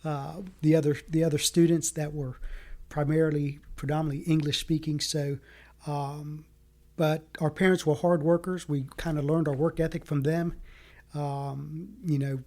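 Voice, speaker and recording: A man raised in the American South whose first language was Greek, talking earnestly in a casual interview, with many halting fillers. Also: on the high side, darkish tone. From Fayetteville, North Carolina. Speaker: city council candidate.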